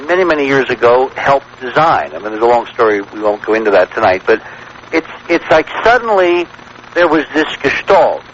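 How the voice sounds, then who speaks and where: Male talk-radio guest, middle pitch, emphatic and wordy storyteller, dull vintage broadcast with light noise. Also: a bit bright.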